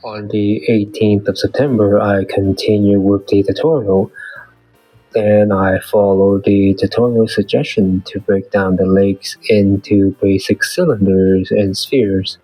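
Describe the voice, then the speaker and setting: A man's voice: lowish voice, little pitch movement, unhurried, warm tone, breathy edge, no room sound, soft background music, rough recording. A man hosting his own channel, giving a plainly read, earnest weekly progress recap while his voice is still off from a flu. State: voice off from recovering flu. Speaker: beginner artist and channel host. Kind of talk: scripted weekly progress narration.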